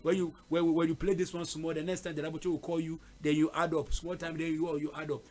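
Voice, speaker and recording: A man's voice: fairly high pitch, little pitch movement, fast talker, darkish tone. A man hosting a casual interview, chatty, with frequent fillers and repeated words. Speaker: host.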